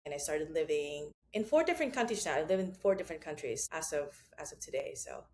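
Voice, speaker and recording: Lively woman from the Philippines with a lowish voice. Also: fast. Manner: animated, laughs often, rises in pitch when telling stories. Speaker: guest, founder of a consulting firm. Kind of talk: casual interview conversation.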